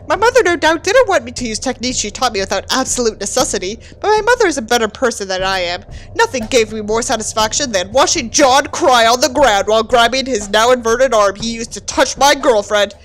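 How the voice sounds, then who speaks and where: A woman's voice: on the high side, fairly bright tone, low-quality recording. A woman giving a mock-dramatic reading of a book with theatrical, sarcastic delivery and candid asides. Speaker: host reading a book aloud with commentary.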